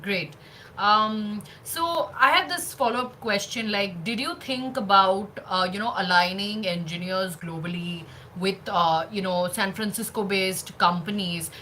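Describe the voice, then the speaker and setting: A woman with a bright, forceful voice hosts an interview. She talks conversationally and at some length, padding her phrases, with faint noise behind her. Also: medium pitch, measured pace, poor audio. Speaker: interview show host.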